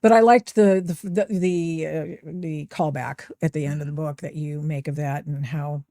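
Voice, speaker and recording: A woman's voice: deep voice, steady tone, moderate pace, dark tone, no echo. A middle-aged woman hosting a podcast interview, chatty and emphatic, with frequent restarts. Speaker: podcast host. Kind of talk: casual interview chat.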